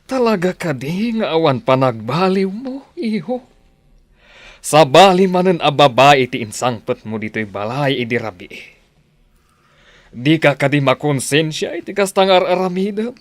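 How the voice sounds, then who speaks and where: A man's voice: fairly high pitch, big pitch swings, unhurried, no echo. An actor playing a concerned, admonishing older father in a radio drama, with an Ilocano Filipino accent. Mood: reproachful.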